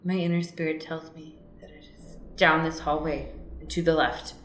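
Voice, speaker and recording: A woman's voice: sits low, fairly even intonation, moderate pace, a bit bright, a little hiss or hum. A woman playing an earnest, spiritual, gushing friend in an improvised comedic radio play, with a hesitant way of talking. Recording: roomy, echoing space.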